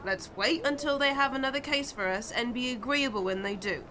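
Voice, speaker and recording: A woman's voice: a little high, brisk, sharp tone, slight background noise. A woman playing an earnest young urchin. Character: sensible young street urchin leader.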